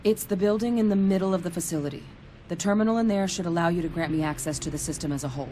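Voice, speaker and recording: An actress in a video game playing a tough, terse female field operative who gives tactical orders in a businesslike, measured way. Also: on the low side, warm tone, slight background noise, natural voice.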